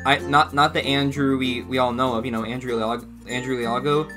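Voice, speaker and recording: A man's voice: medium pitch, fairly even intonation, a little fast, music in the background. A young man giving casual reaction commentary in a rambling way, often repeating and rephrasing himself, recorded in a small room at home. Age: young adult. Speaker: reaction video host.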